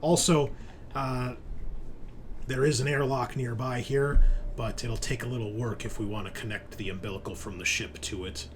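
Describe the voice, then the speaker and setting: A man's voice: mid-range voice, fairly even intonation, normal speed, slight background noise, close to an everyday voice. A man playing a helpful, practical ship crewman reporting hesitantly and apologetically in a sci-fi roleplaying game. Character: helpful ship crewman named Gray reporting over comms.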